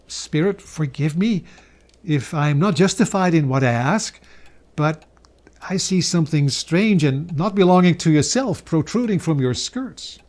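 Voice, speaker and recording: A man's voice: a little high, lively intonation, unhurried, a little mellow. A man voicing a humbled, anxious old man in a dramatic storybook reading, hesitant and pleading. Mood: timid, uneasy.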